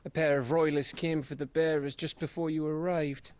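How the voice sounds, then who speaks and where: A man plays a scrappy, wisecracking soldier scout in a video game. His voice sits fairly high, and he answers with quick, sardonic quips. The game audio carries a loud hiss. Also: flat delivery, lightly acted.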